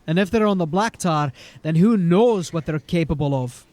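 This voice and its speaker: A man's voice: a little high, animated, moderate pace, darkish tone, no room sound, natural voice. A man playing a folksy, rambling, deferential corporal of a fantasy city watch in an audio drama. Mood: earnest. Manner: folksy, rambling, eager to please.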